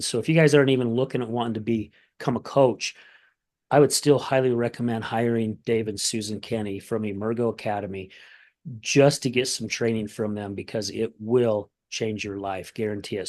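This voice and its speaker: A man hosting a podcast, with a voice of middle pitch. He talks in a friendly, earnest way, reading a sponsor message and welcoming his guest. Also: normal speed, darkish tone. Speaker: podcast host.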